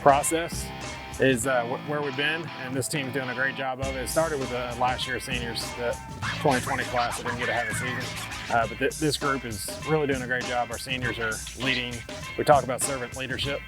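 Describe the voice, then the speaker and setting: A middle-aged man coaching softball talks about his team in an interview. He stops often with fillers, and a loud guitar track plays beneath his voice. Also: mid-range voice, steady tone, a little fast. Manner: hesitant, many fillers. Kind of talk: interview answers. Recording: loud guitar music underneath.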